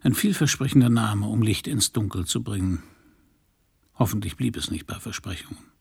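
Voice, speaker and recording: An actor playing a cynical, middle-aged private detective in a German radio crime drama, wry and laconic. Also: male voice, on the low side, normal speed, darkish tone, full character voice. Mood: reflective. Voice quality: husky, gravelly.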